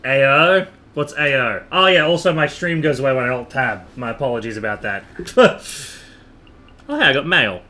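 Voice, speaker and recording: A man's voice: medium pitch, lively intonation, normal speed, pushed and bright, faint music behind. A man streaming a game in casual chat, rambling and thinking aloud with the odd casual swear, over noticeable background noise. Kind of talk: casual live stream chat while gaming.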